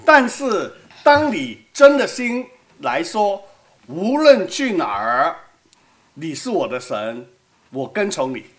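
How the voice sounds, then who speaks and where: A woman's voice: on the low side, sing-song, takes it slowly. A woman from Hong Kong with a Cantonese accent, preaching in a conversational way and putting questions to her audience. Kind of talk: sermon with live interpretation.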